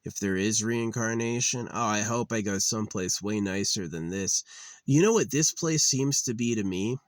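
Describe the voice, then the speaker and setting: A man's voice: on the low side, normal speed, no echo. A man in his late thirties musing in a rambling, weary monologue, with lots of fillers and restarts. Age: 30s. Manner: weary, resigned. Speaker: channel host.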